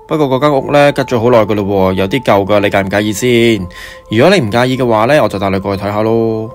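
A man's voice: lowish voice, fast, soft background music, close to an everyday voice. A man with a Hong Kong accent playing a casual, easygoing village property agent in radio drama dialogue. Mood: casual, helpful.

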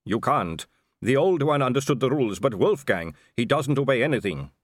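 A man's voice: medium pitch, expressive, moderate pace, no room sound, studio quality, voice pushed up, close to an everyday voice. A man voicing a nervous, yielding guard captain who speaks hesitantly and respectfully, in a storybook reading. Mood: pleading warning.

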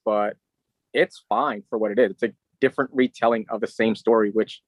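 A man's voice: lowish voice, expressive, a little fast, creaky, breathy edge, no room sound. A man co-hosting a podcast, chatting casually and giving his opinions. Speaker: podcast co-host.

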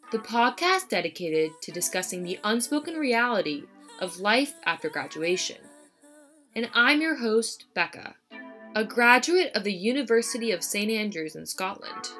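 A woman hosting a podcast, reading a scripted narration in an earnest, measured way. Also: mid-range voice, bright, forceful tone. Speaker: podcast host.

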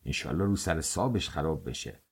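A man's voice: a bass voice, expressive, slightly rough, voice pushed down, exaggerated voice. A man voicing an old male bakery worker over sixty, speaking with a slack, drawling Kermanshah accent and a grumbling manner.

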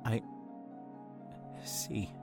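A man's voice: drawn-out words, a little mellow, faint music behind. An actor playing an earnest, insecure young man in an audio drama, speaking hesitantly and wearing his heart on his sleeve. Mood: hurt, subdued. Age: young adult.

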